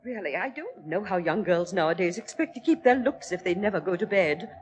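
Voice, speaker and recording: A woman's voice: fairly low pitch, animated, fairly quick, natural voice. An actress playing a fretful, superstitious middle-aged aristocratic English mother with a fussy, fluttery British delivery in old-time radio style. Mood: scolding.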